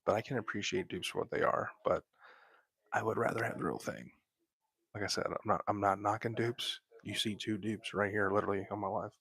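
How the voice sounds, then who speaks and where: A young man whispering softly into a close microphone while hosting a laid-back late-night livestream from bed at home. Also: fairly low pitch, brisk, gravelly, slightly rough, no echo. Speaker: livestream host.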